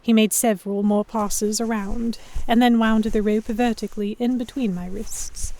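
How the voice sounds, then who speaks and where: A woman's voice: medium pitch, mellow. A woman narrating an audio drama in the first person and acting out the dialogue. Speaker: narrator and lead actor.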